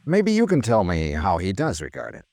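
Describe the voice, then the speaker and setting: An American actor playing a tough, wry insurance investigator in a 1950s radio detective drama, with a clipped, probing delivery. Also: male voice, low-pitched, huge pitch swings, normal speed, gravelly, darkish tone, no echo, studio quality, exaggerated voice. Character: tough, wry, dogged male insurance investigator narrating his case. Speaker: investigator and narrator. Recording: restored 1950s radio recording.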